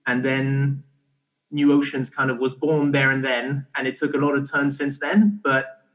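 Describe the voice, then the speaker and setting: Young guy getting interviewed, chatty but halting, restarts his sentences, normal pitch. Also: flat delivery, fairly bright tone, poor audio. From Belgian-born, partly raised in Barbados. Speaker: interview guest, startup co-founder. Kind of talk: casual explanatory interview.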